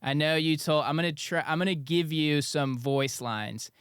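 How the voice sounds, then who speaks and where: A man's voice: fairly high pitch, moderate pace, fairly bright tone, clean tone, no echo, studio quality. A man interviewing a guest, eager and casual, using youthful slang.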